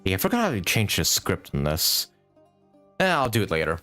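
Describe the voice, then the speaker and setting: A man streams a game in a low-pitched voice with animated intonation. He comments casually and thinks aloud, repeating short phrases, with faint music behind him. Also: fairly quick. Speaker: game livestreamer. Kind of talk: casual live gameplay commentary.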